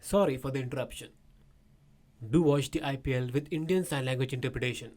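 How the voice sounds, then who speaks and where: A man's voice: medium pitch, fast, darkish tone. A man reading a short scripted promotional announcement.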